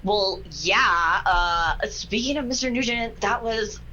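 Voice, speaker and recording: A woman's voice: mid-range voice, slow pace, pushed and bright, dull audio, a little hiss or hum. A woman reading a 1940s radio play part on a video call, playful and theatrical. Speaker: cast member in a comedic radio play reading. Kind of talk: 1940s radio acting, improvised jokes.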